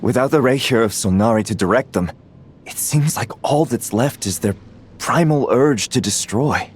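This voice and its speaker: An actor playing an earnest, watchful knight soldier in a video game. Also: male voice, mid-range voice, lively intonation, moderate pace, a little hiss or hum, close to an everyday voice. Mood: serious.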